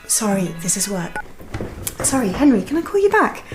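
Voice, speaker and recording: An actress playing an excitable, impulsive young expectant wife in a naturalistic radio drama, breathless and gushing. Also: female voice, medium pitch, normal speed, music in the background, close to an everyday voice. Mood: excited, delighted.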